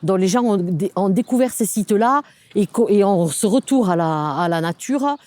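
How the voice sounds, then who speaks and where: A woman with a soft-edged, mellow voice at a middle pitch explains a local project as an official in an interview. She searches for her words as she goes, pausing and repeating short phrases. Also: expressive, no room sound. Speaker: local government sustainable development officer.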